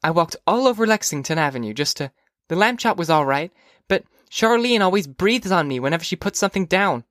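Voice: medium pitch, lively intonation, brisk, hardly any pauses, a bit bright, no room sound, studio quality, voice pushed up, lightly acted. A narrator voicing a young girl, an earnest, blunt and chatty kid sister, in an audiobook. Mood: complaining. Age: child figure. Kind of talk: audiobook character dialogue.

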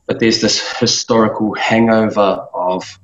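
A man in his thirties from New Zealand speaks as an interview guest, his voice sitting low. He thinks aloud, hesitating and restarting often. The sound is roomy and a little dull. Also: level tone, unhurried, a bit bright. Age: 30s. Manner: thoughtful, with many fillers and restarts. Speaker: guest, business owner. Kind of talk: casual interview talk.

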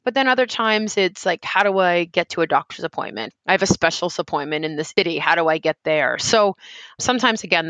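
A woman speaks for a community helpline, laying out how the service works in an earnest, explaining way. She leans on "you know" as she talks, at an ordinary pitch. The sound is dry and close. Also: fairly bright tone, no room sound. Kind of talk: interview answers.

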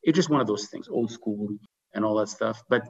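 A man's voice: lowish voice, normal speed, creaky, lo-fi sound. A middle-aged man tells his story in a casual, conversational interview style, often checking in with "right?". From grew up in Dubai and Canada. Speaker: podcast guest, insurance business executive.

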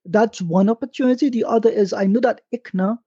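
Man, live stream panelist, informal advice, soft dark tone, husky, lo-fi dry sound. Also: high-pitched, a little fast, no room sound. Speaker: panelist answering callers' questions. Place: online stream. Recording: dull, lo-fi online call.

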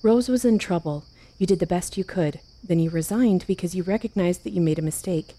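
An actress playing a composed but anxious, caring leader in a fiction podcast, speaking in a measured, earnest way. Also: female voice, on the low side, warm tone, close to an everyday voice. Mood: firm, reassuring. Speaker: leader of a survivors' haven. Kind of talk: naturalistic fiction podcast acting.

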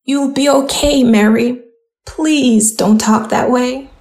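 A woman's voice: sits high, slow pace, darkish tone. A woman playing an anxious, loving older mother and grandmother in an audio drama. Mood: pleading, tender.

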